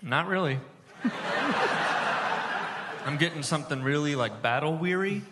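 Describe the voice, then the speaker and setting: A man's voice: on the high side, expressive, measured pace. A man performing a live comedy bit on stage as a mock-serious, pompous connoisseur. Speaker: comic resident cheese expert. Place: stage before a live audience. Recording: reverberant hall, loud background noise.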